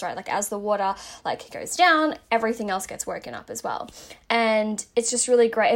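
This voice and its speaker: A woman talks in a relaxed, rambling way, full of fillers and asides. Her voice sits a little higher than usual and sounds a touch hoarse. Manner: chatty, rambling, many filler "like"s. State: slightly losing her voice. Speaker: podcast host. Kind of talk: casual self-help talk.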